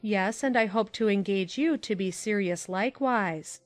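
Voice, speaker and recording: A woman playing a sensible, kindly aunt in an audiobook drama, giving grave advice in a measured, admonishing manner. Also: mid-range voice, no room sound, soft background music, close to an everyday voice. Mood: grave, gentle reproof.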